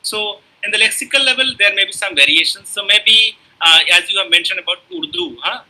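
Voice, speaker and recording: A man's voice: high voice, fairly quick, sharp tone, rough recording. A man lecturing in a webinar over a computer mic, talking discursively with frequent "you see" and "uh". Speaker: guest lecturer. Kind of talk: academic lecture and Q&A.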